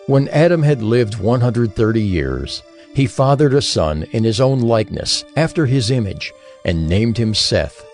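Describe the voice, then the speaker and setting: A man's voice: fairly low pitch, a little mellow. A man narrating scripture from a script in a declarative storytelling manner.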